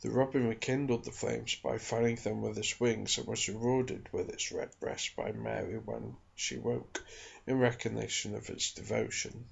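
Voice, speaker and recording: A soft-spoken man with a husky voice reads from a non-fiction book in a measured, careful ASMR style. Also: medium pitch, lo-fi sound. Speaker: ASMR creator reading aloud.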